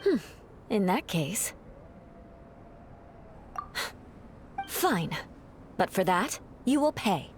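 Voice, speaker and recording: A woman's voice: mid-range voice, big pitch swings, takes it slowly, slight background noise. A woman playing a proud, composed noble knight in a video game, speaking with poised, formal and coolly haughty phrasing. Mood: cool, assured. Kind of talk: video game cutscene acting.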